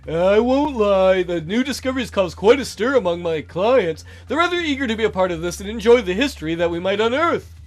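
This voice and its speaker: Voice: mid-range voice, animated, a little fast, faint music behind, lightly acted. A man voicing a smug, sleazy older male treasure hunter from a visual novel while playing it. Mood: sly. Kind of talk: visual novel character voiced by a let's player.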